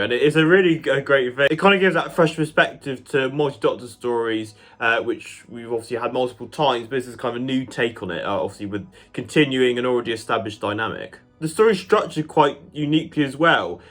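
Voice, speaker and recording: A man's voice: medium pitch, a bit bright. Chatty, enthusiastic man giving a review. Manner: chatty, enthusiastic, hedging with "kind of" and "like". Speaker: channel host and reviewer. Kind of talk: casual audio drama review to viewers.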